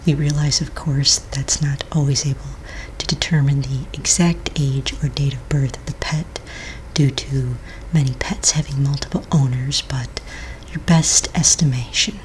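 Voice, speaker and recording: A woman playing a patient, polite office manager in a soft-spoken ASMR roleplay, breathy and half-whispered, asking gentle official questions with small hesitations. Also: rumbling low, fairly even intonation, unhurried, poor audio. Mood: gentle, explanatory. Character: patient, polite female office manager registering fantasy pets. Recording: close mic, faint noise, keyboard clicks. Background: computer keyboard typing and clicks.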